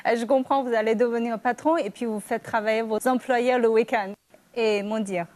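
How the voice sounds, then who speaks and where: A woman's voice: a little high, fairly even intonation, moderate pace, darkish tone. A woman interviewer moderating an informal panel, conversational, with some hesitation as she puts questions to guests.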